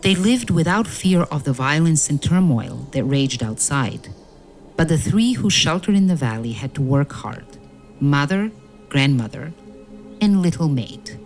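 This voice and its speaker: A woman narrating a fairy-tale audio play in a measured, solemn storytelling manner. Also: deep voice, lively intonation, a little mellow, poor audio. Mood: gentle storytelling. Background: music.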